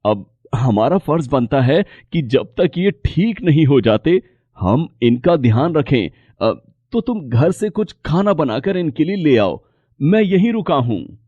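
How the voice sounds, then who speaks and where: Man acting humble labourer, slow and earnest. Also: a little high, sing-song, mellow, no room sound, highs cut off. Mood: caring, concerned. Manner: earnest, halting, deferential. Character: poor honest farm labourer, humble, caring, worried father. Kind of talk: acted Hindi moral story dialogue.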